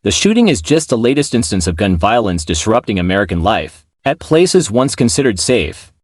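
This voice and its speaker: A man's voice: lowish voice, big pitch swings, normal speed, no room sound, clean studio sound. A man reading a scripted news report as a narrator.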